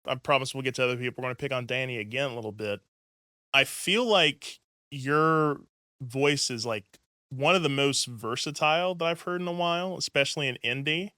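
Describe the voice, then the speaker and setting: A man hosts a relaxed music chat, rambling along with plenty of "like" and "um." His voice is bright and clear, and it sits close and dry on the mic. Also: medium pitch, a little fast, no room sound. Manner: chatty, rambling, heavy use of "like" and "um". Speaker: podcast host. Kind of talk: casual interview chat.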